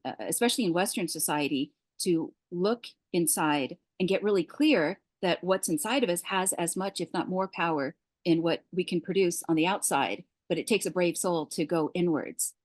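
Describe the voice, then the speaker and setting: A woman's voice: lowish voice, normal speed, few pauses, a little mellow, no echo. A woman hosting a podcast interview, gracious and affirming as she asks long questions. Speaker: podcast host.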